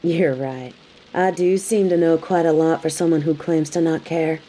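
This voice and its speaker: A woman's voice: sits low, fairly even intonation, moderate pace, dark tone. A woman playing a tough, sassy bounty hunter in an audio roleplay, speaking straight to the listener with dry, taunting, scolding bluntness. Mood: stern, scolding. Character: tough, sardonic female bounty hunter, grudgingly hospitable, scolding. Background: rain.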